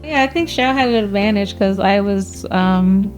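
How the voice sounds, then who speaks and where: A woman in her thirties or early forties from New Jersey, reminiscing conversationally as an interviewee, in a halting way with small restarts. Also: mid-range voice, darkish tone, muffled recording, soft background music. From grew up in New Jersey. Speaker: law school alumna, interviewee.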